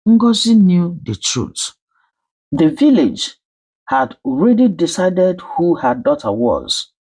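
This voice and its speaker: A storyteller with a Nigerian accent narrating a folktale in an emphatic, theatrical manner with dramatic pauses. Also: mid-range voice, slightly rough, low-quality recording.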